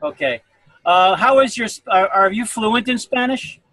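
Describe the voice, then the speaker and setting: A man's voice: high voice, normal speed, sharp tone, no room sound, rough recording. A man organizing over a group video call, speaking hesitantly with many fillers.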